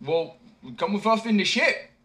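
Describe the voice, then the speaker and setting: A man voicing an eager, naive young peasant simpleton who keeps calling out loudly, reading a storybook aloud. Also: fairly high pitch, very animated, normal speed, pitched above the performer's own voice.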